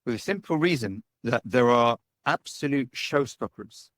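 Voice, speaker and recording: A middle-aged man talks through his interview answers in a relaxed, chatty way, checking in with "right?" and "you know" as he goes. His voice sits at an ordinary middle pitch. The sound is close and dry, with almost no room around it. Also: normal speed, no room sound. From United Kingdom. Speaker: guest, digital nomad and business owner.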